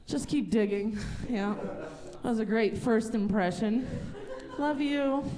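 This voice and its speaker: A woman's voice: a little high, slow. A woman performing a chatty, self-mocking stand-up comedy set on a stage in front of a live audience. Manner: chatty, self-mocking, loud character bits. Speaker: stand-up comic. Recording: audience noise in a live venue. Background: audience laughter.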